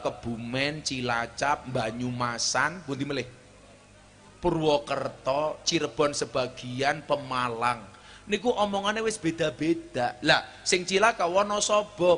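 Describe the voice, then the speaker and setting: Joking javanese preacher guy, high and bright, shouts then mutters, handheld mic outdoors. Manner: joking, switches between shouting and low asides; walks the stage with a cane. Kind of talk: humorous outdoor sermon. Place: outdoor stage before a large crowd.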